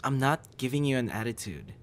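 A young man acting a busy, guarded friend in an intimate audio roleplay, with halting, stammering delivery. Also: mid-range voice, big pitch swings. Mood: curt, guarded.

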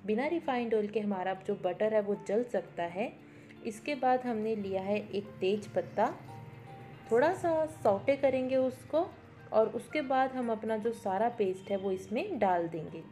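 A woman's voice: a little high, lively intonation, measured pace, mellow, faint music behind. A woman giving a step-by-step instructional cooking voice-over, mixing in English words. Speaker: cooking show host. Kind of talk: recipe tutorial voice-over.

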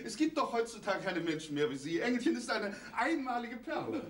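A high, sing-song man's voice that swoops up and down, belonging to a lovesick, fawning doctor given to poetic speeches. The recording is roomy and set back, with the voice heard from across a stage. He flatters freely and dreams aloud. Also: fairly quick, recorded from a distance. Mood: gushing. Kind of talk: 1970s televised stage comedy.

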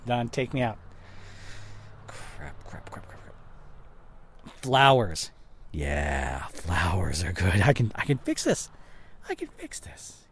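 A young man playing an anxious, regretful boyfriend in an intimate audio roleplay, speaking haltingly with sighs and whispered words. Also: deep voice, huge pitch swings, a bit bright, slight background noise, exaggerated voice. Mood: panicked regret. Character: regretful, anxious boyfriend tenderly consoling his girlfriend.

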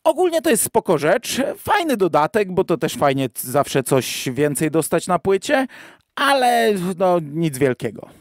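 A male podcast co-host with a fairly high-pitched voice discusses and reviews in a casual, discursive manner. The sound is dry and close to the microphone. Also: big pitch swings, unhurried, no room sound. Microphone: close microphone on a remote virtual-studio call.

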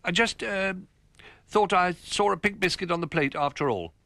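A man's voice: high voice, normal speed, creaky, pushed and bright, no echo, voice pushed up, full character voice. A man voicing a nervous, conscientious male toy rabbit in a storybook, hesitant and fretful. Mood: flustered.

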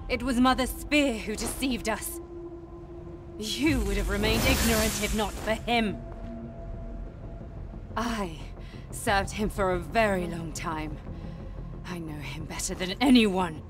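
A bitter warrior woman from a video game, played by an actress, speaking slowly with defiant, taunting intensity. She sounds fiercely loyal and emotional. Her voice sits a little away from the mic, with music clearly behind it. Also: medium pitch, distant mic, natural voice. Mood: bitter, accusing. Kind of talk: dramatic video game voice acting.

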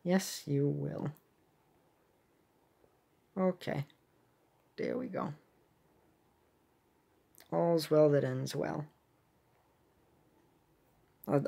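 Game streamer, androgynous mid-range pitch, casual commentary, rambling, halting, self-talk. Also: vocal fry.

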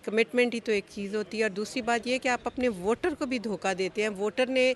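Pakistani female politician, 50s, halting interview delivery with English terms, faint ambient noise. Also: fairly high pitch. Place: outdoors, parliament forecourt. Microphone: handheld interview microphone.

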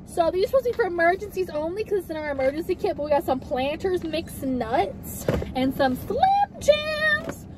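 A young woman with a Southern accent, chatting casually in a playful, excitable way while vlogging from a parked car. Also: very high-pitched, moderate pace, few pauses. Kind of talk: casual car vlog chat. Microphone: camera on the dashboard. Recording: noisy car with outside ambience.

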